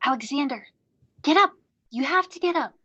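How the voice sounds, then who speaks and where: Through a dull, lo-fi microphone comes an anxious young goddess, played by a woman, high-voiced and pleading. She is lovestruck and easily flustered, as heard in a staged play reading. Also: expressive, normal speed, bright, forceful tone. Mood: tender.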